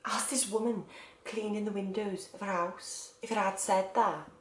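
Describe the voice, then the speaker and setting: A woman's voice: medium pitch, steady tone, normal speed, natural voice. An actress playing a lonely, wistful woman confiding in an absent loved one, in a stage monologue broken into short phrases. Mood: hushed wonder. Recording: echoey room.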